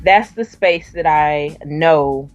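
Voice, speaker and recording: A woman's voice: low-pitched, slow, dull audio, faint music behind. A young woman from the South, an author and poet, answering interview questions with a hesitant manner and many fillers. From Southern US (Alabama).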